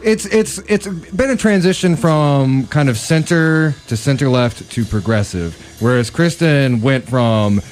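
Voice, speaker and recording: Agreeing co-host with a mid-range voice. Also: male voice, mid-range voice, measured pace, few pauses, darkish tone. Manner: frequent backchannel agreement, hesitant when he takes the floor. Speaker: radio show co-host. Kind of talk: political talk.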